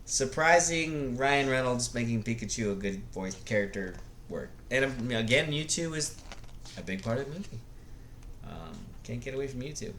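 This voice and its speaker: A man's voice: medium pitch, animated, normal speed, fairly bright tone, slight background noise. A man giving casual, unscripted opinions like a video blogger, blunt and rambling, often hesitating as he searches for words.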